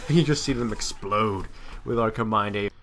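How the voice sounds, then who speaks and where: A man's voice: fairly low pitch, normal speed, a bit bright, a little hiss or hum. A man giving casual, off-the-cuff gameplay commentary, rambling and correcting himself in crude, slangy language. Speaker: gaming video commentator.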